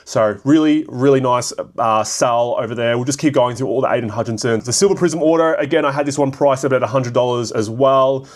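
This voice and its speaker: Raspy Australian man with a cold. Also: mid-range voice, a little fast, hardly any pauses. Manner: rambling, filler-heavy, thinking aloud. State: hoarse from a cold. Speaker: card shop vlogger. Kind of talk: casual solo commentary.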